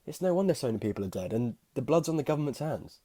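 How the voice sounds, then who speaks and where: A man's voice: medium pitch, big pitch swings, rapid-fire, warm tone. A man acting an angry, exasperated diarist in a scornful, sweary monologue. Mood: angry, fed up. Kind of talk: acted diary rant.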